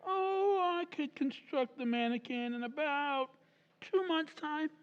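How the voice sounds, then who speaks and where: A man plays a suave maker of lifelike marionettes, all assured salesman's patter in an old-time radio play. His pitch swings widely, and a long stage reverb trails after each line. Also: expressive, measured pace, highs cut off. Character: smooth-talking male maker of lifelike marionettes.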